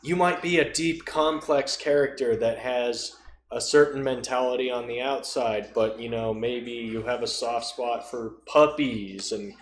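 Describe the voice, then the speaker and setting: A man's voice: mid-range voice, fairly even intonation, measured pace. A man in a casual podcast chat about tabletop role-playing, explaining things through made-up examples. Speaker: podcast speaker. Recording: echoey room with faint background noise.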